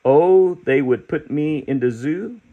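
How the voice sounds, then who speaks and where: A man's voice: mid-range voice, sing-song, a little slow, slightly rough, phone-quality sound, exaggerated voice. A man voicing an eager spotted creature. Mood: proud. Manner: sing-song rhyming, eager. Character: cheerful spotted creature longing to stay.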